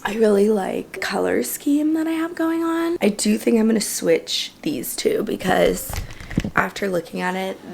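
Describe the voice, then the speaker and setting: A young woman vlogger with a hoarse, fading voice, chatting casually to the camera and often slipping into a breathy half-whisper. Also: mid-range voice, slight background noise. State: hoarse, losing her voice. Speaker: lifestyle vlogger. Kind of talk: casual vlog chat to camera.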